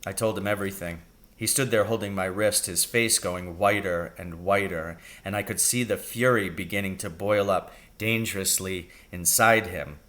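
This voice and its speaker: A man reading a children's novel aloud as an expressive storybook narrator. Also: low-pitched, normal speed.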